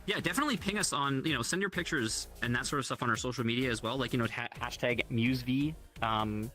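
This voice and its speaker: A man's voice: mid-range voice, fast talker, pushed and bright, faint music behind. A man hosting a casual livestream, rambling and upbeat with lots of fillers and restarts. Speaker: livestream host.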